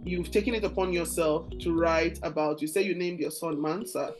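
Nigerian woman, podcast host, lower voice, enthusiastic, video-call sound with faint music. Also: fairly even intonation, moderate pace. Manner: enthusiastic, repeats words while searching for phrasing. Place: room with bookshelves and red sofa.

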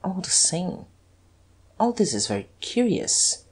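Husky male detective, acted by an audiobook reader, suspicious, halting, muttering, stubborn, shaken. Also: mid-range voice, very animated. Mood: accusing.

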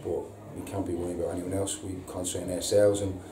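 Low flat-voiced football manager. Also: male voice, crowd noise. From England. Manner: halting, repeats words, frequent ums. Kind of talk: post-match interview. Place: indoor press room before a sponsor board. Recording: echoey room, distant mic, audible hiss.

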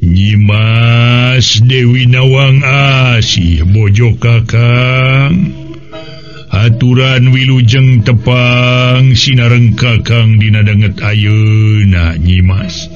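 A man voicing a calm, dignified, affectionate king in a folktale, with drawn-out, stately delivery. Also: mid-range voice, muffled recording, soft background music. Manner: slow, drawn-out, stately speech.

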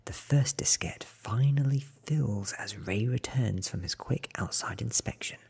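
A man in his thirties softly reading a story ASMR style in a breathy whisper, close to the microphone. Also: lowish voice, measured pace. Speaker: ASMR book reader. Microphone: close studio microphone.

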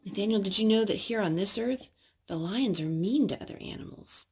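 Husky-voiced woman reading to small children. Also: mid-range voice, fairly quick, darkish tone, soft background music. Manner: simple, instructive child-directed speech with questions. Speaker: parent reading to and singing with young children. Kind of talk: storytime reading and sing-song talk to small children. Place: living room at home, indoors.

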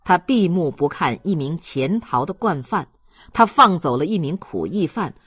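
A man's voice: fairly high pitch, big pitch swings, normal speed, fairly bright tone, breathy edge. A man narrating a literary novel as a scripted audiobook reading.

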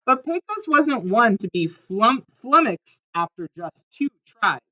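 A woman's voice: fairly high pitch, very animated, measured pace, bright, forceful tone, highs cut off. A woman reading a children's storybook aloud expressively, with dramatic emphasis on key words. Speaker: storybook reader.

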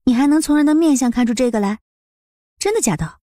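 A woman playing a confident, curious young mistress of a household in an audiobook drama, composed and gently teasing. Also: sits high, lively intonation, fast talker, dry recording, clean studio sound. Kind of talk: Chinese audiobook drama acting.

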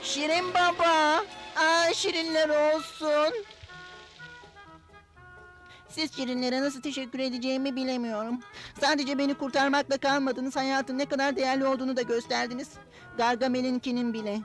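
A woman's voice: high voice, level tone, fairly quick, a bit bright. A woman voicing a sporty, grumbling but good-hearted little male smurf in a cartoon dub. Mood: relieved. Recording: music under the voice.

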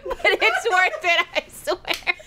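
A woman's voice: extremely high pitch, very animated, takes it slowly, sharp tone. A woman telling a story casually as a let's play host, giggly, slangy and rambling, acting out bits of dialogue as she goes, with music behind her. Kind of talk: animated casual storytelling recap.

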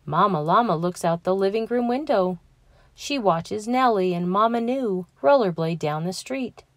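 A young adult woman reading a children's picture book aloud, gentle and clear, lifting her voice for the characters. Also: medium pitch, expressive, normal speed, no room sound. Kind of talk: read-aloud storytelling.

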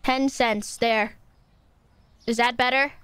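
A woman's voice: fairly high pitch, steady tone, slow, a bit bright, clear, resonant tone, no room sound. Playful, exasperated woman streaming a game. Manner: playful, easily exasperated, talks to chat. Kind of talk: casual live-stream gameplay chat.

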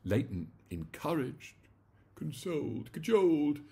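A man in his forties reading a comic short story in a theatrical, expressive way, recorded in a small room at home. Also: a little high, a little slow. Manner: theatrical, animated. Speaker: story reader.

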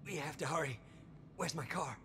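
A man's voice: on the high side, normal speed, noisy background, close to an everyday voice. A man playing an anxious, defensive older brother on the run in a dramatic video game, urgent and insistent. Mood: agitated. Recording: loud game music and effects behind.

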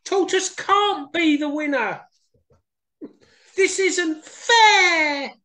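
A woman's voice: drawn-out words, sharp tone, exaggerated voice. A woman voicing a proud, boastful young female hare in a storybook reading. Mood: indignant. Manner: high squealing exclamations.

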